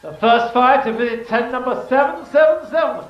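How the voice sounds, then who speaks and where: Dull and echoing from a distance, an excitable carnival barker played by a man hawks in an extremely high voice. His pushy patter has a sing-song lilt. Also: moderate pace. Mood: excited, hawking. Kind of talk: audio drama carnival barking. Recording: dull, distant, roomy, music underneath.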